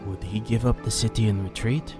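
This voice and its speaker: Man voicing a low, resolute ninja master. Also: steady tone, natural voice. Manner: measured, serious. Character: measured, resolute male ninja clan master. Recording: music behind the voice.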